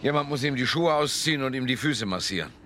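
An actor playing a considerate expedition leader in a radio play, speaking with deliberate authority. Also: male voice, mid-range voice, expressive, fairly bright tone, slight background noise, natural voice. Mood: concerned. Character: commanding, considerate male expedition leader, the Boss.

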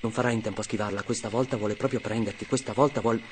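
A man's voice: fairly low pitch, fairly even intonation, racing, slight background noise, lightly acted. An actor playing an Italian man who relives his 1980s childhood in a fragmented, vivid radio monologue. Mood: tense, vivid. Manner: fragmented, repetitive, vivid present-tense storytelling. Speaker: actor and narrator. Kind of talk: dramatic first-person radio monologue.

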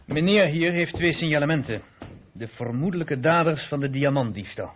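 A man's voice: on the high side, expressive, normal speed, slight background noise, natural voice. Stern police inspector, acted by a man, phone-thin sound. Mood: businesslike. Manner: clipped, insistent, authoritative questioning. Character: stern, reproachful male police inspector questioning a witness. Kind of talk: radio drama acting. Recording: muffled narrow-band old radio recording.

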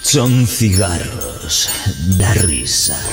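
A solemn vagrant oracle, acted by a man in a radio drama. He speaks extremely slowly in a low voice with lively swoops, cryptic and grave, over loud music. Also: exaggerated voice. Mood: calm, cryptic. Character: enigmatic male vagrant oracle of the park, solemn. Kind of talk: audio drama acting.

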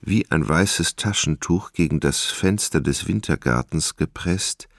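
Male radio-play narrator, bottom-register bass with marked fry, literary storytelling. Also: measured pace, no echo, studio quality.

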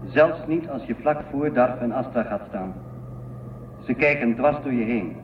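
An actor playing a calm, deliberate male guide in a radio play, explaining in a measured way. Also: medium pitch, phone-quality sound, lightly acted. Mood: calm, explanatory. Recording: very echoey, distant, phone-thin, faint noise.

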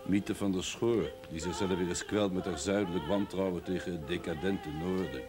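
An actor playing a sardonic, worldly sceptic who needles the clergy in a television drama. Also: male voice, deep voice, fairly even intonation, moderate pace, music in the background, close to an everyday voice. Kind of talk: television period drama acting.

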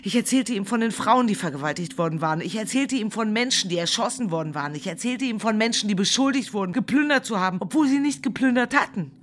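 A woman's voice: mid-range voice, lively intonation, fast, hardly any pauses, close to an everyday voice. An actress playing a distraught female flood survivor recounting her ordeal in a radio drama monologue. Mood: anguished.

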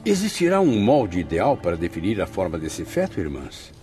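Animated, troubled Brazilian male spirit. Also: deep voice, moderate pace, faint music behind. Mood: curious. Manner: dramatic, formal. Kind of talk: radio drama acting.

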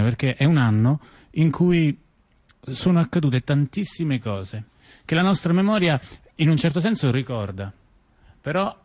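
A man performs a theatrical dialogue at a deliberate, musing pace, pausing as he thinks. His voice sits a little higher than most men's. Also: darkish tone. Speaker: stage performer.

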